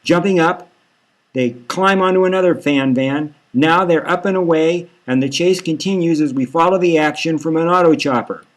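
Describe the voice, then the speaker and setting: A man voicing an excitable news reporter machine giving live commentary on a chase in an audiobook. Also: a little high, moderate pace, close to an everyday voice. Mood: excited.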